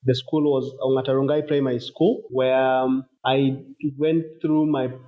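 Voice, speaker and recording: A man with a Kenyan accent, a company founder, answering interview questions in a measured, thoughtful way with pauses. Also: medium pitch, little pitch movement, phone-quality sound.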